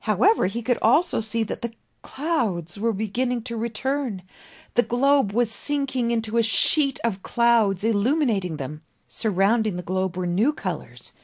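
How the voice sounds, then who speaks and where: A woman reading literary fiction aloud as an author would, with an expressive, dramatic storybook delivery and deliberate pauses. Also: a little high. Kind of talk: expressive scripted story narration.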